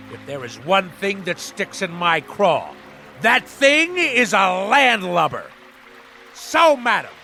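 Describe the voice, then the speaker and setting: Pompous seafaring-obsessed husband, radio drama, theatrical declaiming, high voice, widely swooping delivery. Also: male voice, vocal fry, full character voice. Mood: scornful. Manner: grandiose, declamatory, swinging from hushed to bellowing. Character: pompous, blustering nautical-obsessed husband, middle-aged model-ship tycoon.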